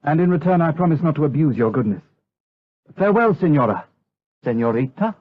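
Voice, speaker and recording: A young gentleman suitor speaks with eager courtesy and deference. His voice is a little rough. The period radio play has a dull, narrow sound. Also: fairly high pitch, lively intonation, fairly quick. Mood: courteous, eager. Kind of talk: period audio drama acting. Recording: dull lo-fi radio drama recording.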